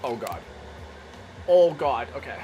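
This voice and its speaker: A man's voice: mid-range voice, very animated, slow pace, mellow, a little hiss or hum. A man streaming a casual chat, rambling to his viewers while making coffee. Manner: chatty, rambling, talks to chat.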